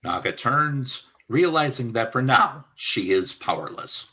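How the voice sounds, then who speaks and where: A man's voice: mid-range voice, lively intonation, a little slow, pushed and bright. A man reading scripted narration for a radio play as its narrator.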